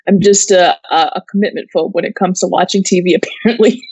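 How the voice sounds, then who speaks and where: A woman's voice: fairly low pitch, fairly even intonation, fast talker, a little mellow, slightly rough, no room sound, low-quality recording. A woman co-hosting a pop culture show in casual, informal, self-deprecating chat over a video call.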